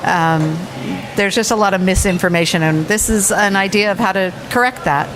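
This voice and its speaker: A woman, a physical therapist, speaks on a live conference panel in a thoughtful, slightly halting way. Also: medium pitch. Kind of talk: self-introduction on a live panel. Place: conference session with a live audience. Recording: live conference room with faint background noise.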